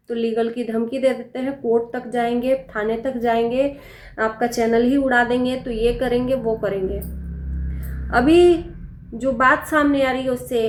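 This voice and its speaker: Woman, higher-pitched voice, casual opinionated reaction commentary, pointed repetitive phrasing, echoey room recording. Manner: pointed, rhetorical questions and repeated phrases. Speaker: reaction video host.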